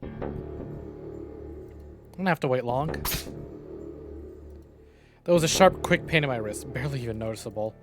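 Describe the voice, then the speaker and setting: A man's voice: mid-range voice, moderate pace, pauses often. Man narrating a visual novel aloud. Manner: dramatic expressive narration. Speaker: streamer. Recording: game music playing behind.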